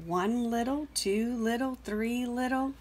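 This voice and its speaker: A woman reading a children's picture book aloud as expressive scripted narration. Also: a little high, slow, slight background noise. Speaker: storybook reader.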